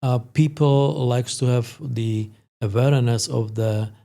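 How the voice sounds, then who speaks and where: Male expert guest, 50s, Slovak accent, gravelly and mellow, halting delivery. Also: mid-range voice. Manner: hesitant, halting phrasing. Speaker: sports psychologist, podcast guest. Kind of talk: interview conversation, explanatory.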